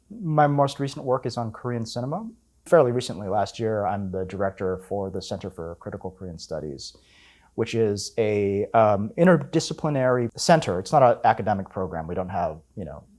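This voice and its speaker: A man of about fifty telling his family story in an oral history interview, relaxed and full of fillers and restarts. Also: medium pitch. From United States. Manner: relaxed, many fillers, self-correcting.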